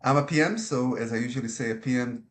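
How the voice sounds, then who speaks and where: A man in his thirties from Israel, with an Israeli accent, talking as a podcast guest in a relaxed, wry, joking way. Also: mid-range voice, fast talker, slight background noise. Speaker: podcast guest, product manager. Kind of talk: casual interview conversation.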